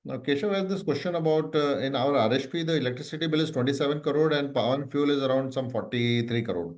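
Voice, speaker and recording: A man with an Indian accent moderating an earnings call, relaying investor questions in halting phrases. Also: medium pitch, a little mellow. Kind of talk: business question-and-answer call.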